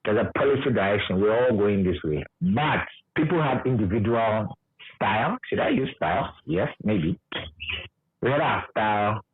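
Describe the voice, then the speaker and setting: A man's voice: lowish voice, sing-song, normal speed, slightly rough. A Ghanaian man, a radio presenter, reminiscing in conversation and repeating his points for emphasis. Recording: muffled, narrow phone-like line.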